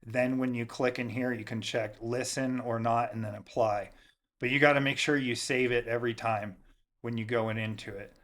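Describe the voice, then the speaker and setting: A man with a mid-range voice narrates a software tutorial. He is informal and casual, guiding the listener one step at a time as the host. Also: medium pitch.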